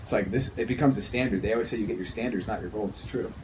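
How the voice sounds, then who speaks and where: A man's voice: on the low side, rapid-fire, noisy background. A man in his thirties talking casually and earnestly as a podcast host, often repeating himself for emphasis. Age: 30s. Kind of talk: casual conversational podcast talk.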